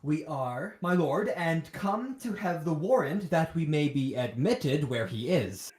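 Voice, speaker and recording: A man's voice: fairly high pitch, animated, a little slow, darkish tone. A young male actor playing a blunt, businesslike hired murderer in a Shakespearean radio play. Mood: blunt, eager. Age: young adult. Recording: echoey room.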